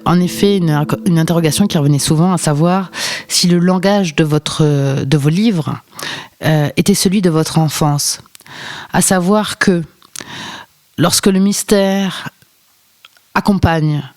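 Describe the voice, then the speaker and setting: Measured interviewer, dry close sound. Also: medium pitch, darkish tone, no room sound. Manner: long, measured questions. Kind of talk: formal interview question.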